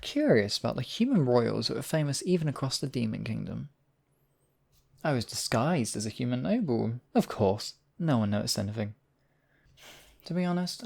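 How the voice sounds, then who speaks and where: A man's voice: medium pitch, vocal fry, a little mellow. A man playing a smug, obsessive demon king in a theatrical audio roleplay, silky and possessive in his wooing. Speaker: voice actor. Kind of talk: theatrical roleplay monologue, ASMR audio drama.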